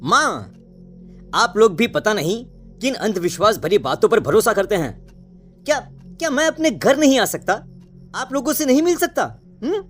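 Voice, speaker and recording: Cartoon teenage son, played by a man: dismissive, insistent, with very lively pitch movement. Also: normal speed, soft background music. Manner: carefree, insistent. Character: headstrong, dismissive eighteen-year-old village son. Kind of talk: animated storybook drama acting.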